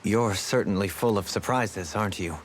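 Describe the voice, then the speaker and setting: A man's voice: on the low side, moderate pace, slight background noise, close to an everyday voice. An actor playing a refined, thoughtful nobleman turned rebel in a video game dub, eloquent, formal and pensive. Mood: pensive, puzzled. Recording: game music playing behind. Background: background music.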